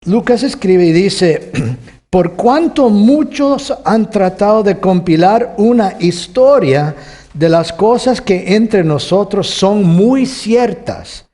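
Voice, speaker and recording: A man teaching from the Bible to a congregation, with Costa Rican and Mexican Spanish and a halting manner full of restarts. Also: high voice, expressive. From United States, California. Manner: warm, halting with many restarts, chuckles often. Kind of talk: sermon teaching.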